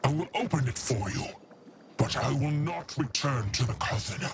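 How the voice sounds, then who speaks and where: An actor playing a stern, wise old witch matron in a fantasy game, speaking in a measured, formal way. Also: mid-range voice, lively intonation, natural voice. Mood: reluctant, resolved. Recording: noisy ambience behind the voice. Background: game ambience noise.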